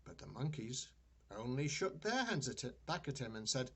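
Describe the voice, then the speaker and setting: An older man, a grandfather, reading a children's story aloud in an expressive, deliberate way. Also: medium pitch, husky.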